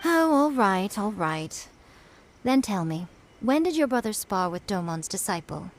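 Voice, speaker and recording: A woman voicing a sly, teasing shrine priestess in a video game, amused and unhurried. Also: medium pitch, very animated, slight background noise.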